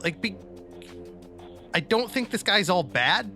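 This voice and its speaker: A man playing a tabletop roleplaying game, improvising casually with hesitant, halting phrasing. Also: high voice, expressive, pushed and bright. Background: faint music.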